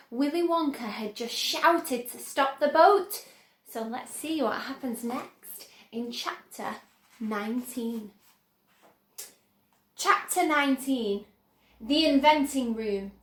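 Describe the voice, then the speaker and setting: A woman reading a children's chapter book aloud slowly and expressively as storyteller. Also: sits high, reverberant.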